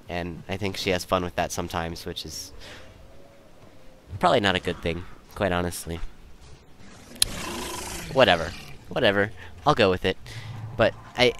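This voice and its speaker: A man's voice: deep voice, animated, moderate pace, pauses often, a bit bright, a little hiss or hum. A young man giving rambling, casual commentary in an easygoing, self-deprecating, digressive way. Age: young adult. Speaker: video creator and commentator.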